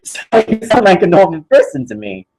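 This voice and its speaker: A man's voice: high-pitched, sing-song, brisk, darkish tone, rough recording. A young man from Indonesia in casual chat, rambling with many fillers and restarts, his English a bit British-sounding. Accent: slightly British-sounding English. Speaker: club member.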